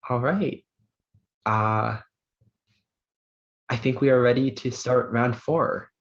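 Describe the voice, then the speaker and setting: Guy reading out spelling bee words, measured and formal, dull lo-fi call audio. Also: mid-range voice. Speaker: word pronouncer.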